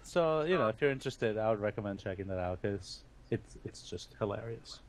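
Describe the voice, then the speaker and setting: A man's voice: lowish voice, animated, a little fast, a little hiss or hum. A man hosting a podcast, talking in a chatty, informal way like a fan among friends. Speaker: podcast host. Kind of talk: casual podcast chat.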